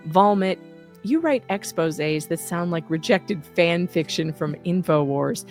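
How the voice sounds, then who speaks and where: A woman's voice: lowish voice, lively intonation, a little slow, soft background music. A woman reading out a scripted satirical roast as an online host, with a sarcastic, punchy, emphatic delivery.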